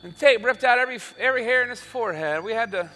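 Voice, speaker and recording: Very high-voiced man hosting a comedy show. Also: animated, fast, sharp tone, slight background noise. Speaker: show host, comic monologist. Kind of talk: stage comedy storytelling monologue.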